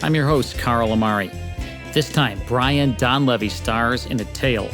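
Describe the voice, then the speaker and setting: A man's voice: medium pitch, normal speed. A man with an American accent hosting a podcast, reading polished scripted narration. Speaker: podcast host. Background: music.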